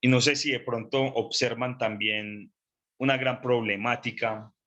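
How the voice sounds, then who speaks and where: A male preacher delivers a sermon in an unhurried, measured way, his congested voice still coming through bright and forceful. He keeps circling back on his phrases and clears his throat often. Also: medium pitch, steady tone. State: congested with a cold.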